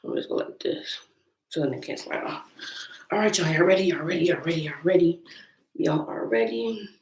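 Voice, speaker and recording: An American woman with a deep voice roughened by a cold, talking informally to viewers as host of a Bible-reading vlog. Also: animated, a little fast, a little mellow. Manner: informal, chatty, addressing viewers as y'all. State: getting over sickness. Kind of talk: casual chat and reading scripture aloud. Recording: roomy echo, distant microphone.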